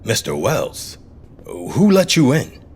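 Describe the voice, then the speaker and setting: An actor playing an evasive, self-important mayor with a measured, curt manner in an audio drama. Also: male voice, medium pitch, huge pitch swings, a little mellow, slight background noise, full character voice. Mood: weary, curt.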